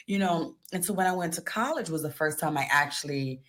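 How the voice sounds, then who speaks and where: A woman's voice: low-pitched, brisk, a bit bright. A woman from the United States telling personal stories in a casual interview as a therapist guest, expressively acting out reactions. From United States, born in New York. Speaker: guest, tantric therapist and psychotherapist.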